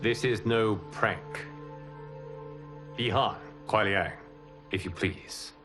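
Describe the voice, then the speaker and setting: An actor playing a solemn, commanding fire god in a video game, speaking in a formal, measured, grave manner. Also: male voice, on the low side, music in the background. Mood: grave, firm. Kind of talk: cinematic video game dialogue.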